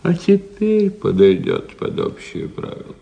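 A man's voice: sits high, very animated, unhurried, creaky, mellow, exaggerated voice. An actor playing a skeptical doctor in a radio drama, wry and needling in the way he talks. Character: dry, skeptical, sardonic military-town doctor.

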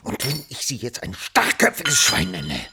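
An actor playing a tipsy gentleman who goads his company with pompous mock politeness in a radio drama. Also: mid-range voice, sing-song, normal speed, very noisy, natural voice. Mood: smug, provocative. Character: goading, tipsy gentleman drinker, pompous and provocative. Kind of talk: German radio drama acting.

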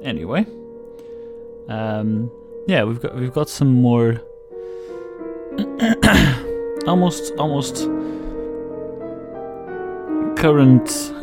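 A man whose first language is Portuguese chats slowly and haltingly as a radio host, circling back and repeating his words. His voice sits at an ordinary middle pitch. Violin and piano music plays clearly underneath. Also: expressive. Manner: hesitant, rambling, repeats words. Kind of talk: casual commentary over music. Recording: loud music playing under voice. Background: classical viola and piano music.

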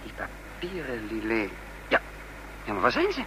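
Gedreven vluchteling, levendige mannenstem. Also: male voice, fairly low pitch, huge pitch swings, normal speed. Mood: pensive. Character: resolute male fugitive impostor leading his companions. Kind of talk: radio drama acting. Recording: noisy old radio play recording.

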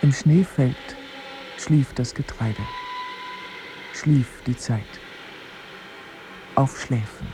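A man's voice: mid-range voice, drawn-out words, long pauses, background noise. A man reciting a poem in short, sparse phrases. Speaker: poetry reader. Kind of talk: very slow, sparse poetry recitation.

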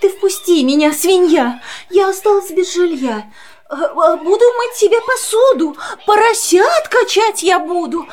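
A woman's voice: very high-pitched, expressive, a little slow. A woman playing a homeless, genteel female cat pleading for shelter in a children's audio play. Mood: plaintive pleading.

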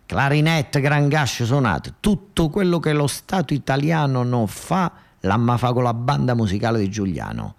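A bossy young Neapolitan woman, acted out by a male storyteller, rallies her brothers in dialect. The delivery is emphatic and commanding, swinging widely in pitch as on a theatre stage. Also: sing-song, normal speed, creaky, few pauses.

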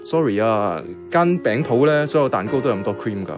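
An actor playing a self-effacing young bank worker in a radio drama, speaking casual, colloquial Hong Kong Cantonese. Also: male voice, medium pitch, lively intonation, a little fast, lightly acted. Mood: gentle, hesitant. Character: soft-hearted, self-effacing young male bank worker, secretly devoted. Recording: music playing behind the voice.

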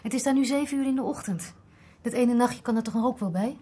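A woman's voice: fairly high pitch, brisk, warm tone, husky. An actress playing an impatient, dryly sarcastic woman with an informal manner, in a naturalistic radio drama. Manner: dry, nagging, informal. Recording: noisy airport and vehicle ambience.

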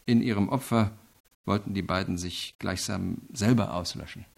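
A man playing an earnest, reflective investigator in a radio crime drama, explaining things in a measured, deliberate way. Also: low-pitched, gravelly. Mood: earnest, intense. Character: earnest, reflective male investigator reporting to his superior.

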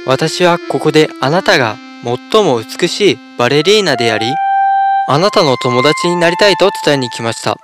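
A man's voice: on the high side, lively intonation, normal speed, loud music behind the voice, natural voice. A man playing a brave, devoted toy soldier in a children's fairy-tale cartoon, earnest and dramatic. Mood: earnest, gentle.

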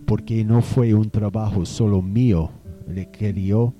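Man acting a slow, stately old-time gentleman. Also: lowish voice, fairly even intonation, faint music behind, natural voice. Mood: proud, reminiscing. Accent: Colombian. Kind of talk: amateur radio drama acting.

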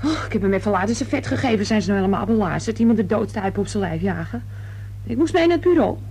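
A woman's voice: medium pitch, expressive, fast talker, a little mellow, a little hiss or hum, lightly acted. An actress playing a gabby, indignant young working-class cleaning girl in a radio play, talking colloquially.

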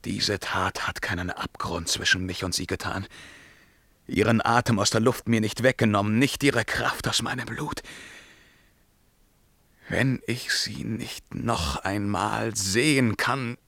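An actor playing a tormented, passionate emperor in a poetic radio drama, with theatrical, intense delivery. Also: male voice, lowish voice, steady tone, measured pace, vocal fry, a bit bright, no room sound, full character voice. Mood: feverish, anguished. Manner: theatrical, intense, swinging between whispers and outbursts.

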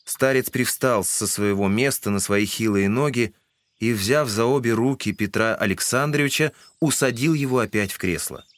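A man's voice: medium pitch, normal speed, no echo. A man reading scripted literary narration as the narrator of an audio drama.

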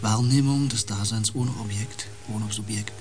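A man playing a haunted, intense empath and space explorer in a radio drama, brooding and halting in fragmented phrases. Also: on the low side, steady tone, darkish tone, faint music behind, close to an everyday voice. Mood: haunted, hushed.

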